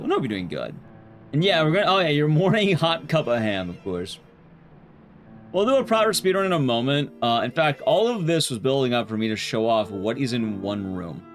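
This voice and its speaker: A man livestreaming a game and chatting casually with viewers, rambling and restarting his sentences as he goes. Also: fairly high pitch. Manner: rambling, often restarting sentences mid-thought.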